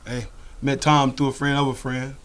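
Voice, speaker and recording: A man's voice: medium pitch, flat delivery, normal speed, a bit bright, clean tone. A man bragging about himself offhandedly as a guest on a local talk show. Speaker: bounty hunter guest. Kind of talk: casual interview chat. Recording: distant mic with faint noise.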